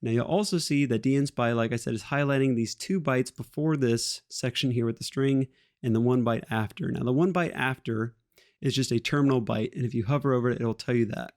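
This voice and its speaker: A man's voice: medium pitch, fairly quick, a little mellow. Casual man teaching a coding tutorial. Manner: casual, self-deprecating, thinks aloud with fillers. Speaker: instructor. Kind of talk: screen-share coding tutorial.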